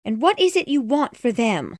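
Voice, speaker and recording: A man voicing a bitter, commanding old wealthy woman in a storybook reading, talking in a sharp, demanding way. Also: extremely high pitch, sing-song, normal speed, dry recording, studio quality, pitched above the performer's own voice.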